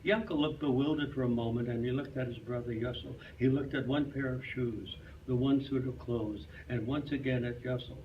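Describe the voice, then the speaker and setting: A man's voice: medium pitch, steady tone, moderate pace, hardly any pauses, muffled recording. Male voice, live story reading, distant microphone, faint background noise. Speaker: reader in a reader's theater. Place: clubhouse hall, live performance.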